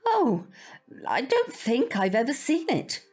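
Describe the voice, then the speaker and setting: Prim neighbour for audio drama: polite, hesitant, faintly disapproving, lively intonation, music underneath. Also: female voice, high-pitched, slow pace, slightly rough, exaggerated voice.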